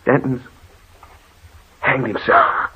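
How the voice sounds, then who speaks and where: An actor playing an earnest, protective husband in an old radio horror play. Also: male voice, mid-range voice, wildly swooping pitch, takes it slowly. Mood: grim urgency. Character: protective, reassuring husband in a haunted house. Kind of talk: 1940s radio drama acting. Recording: tinny, hissy old radio recording.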